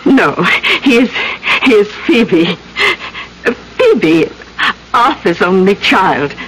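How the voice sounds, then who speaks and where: An old spinster in a vintage radio drama, fretful and protective. She speaks slowly and haltingly over faint recording noise. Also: mid-range voice, sing-song, fairly bright tone, full character voice, poor audio. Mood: gentle, fretful. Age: older. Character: old scowling spinster, devoted protective sister, anxious.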